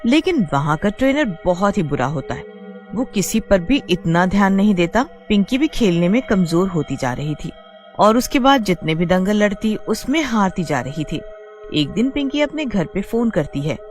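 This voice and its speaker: Woman, scripted story narration, animated intonation, middle pitch, background music. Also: moderate pace.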